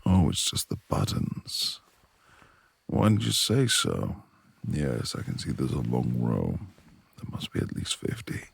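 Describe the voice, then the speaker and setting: A man playing a brooding, reclusive beast of a manor lord in a romantic ASMR roleplay, gruff yet tender, with gravelly, breathy speech and formal old-fashioned phrasing. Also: rumbling low, wildly swooping pitch, measured pace, exaggerated voice. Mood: coaxing.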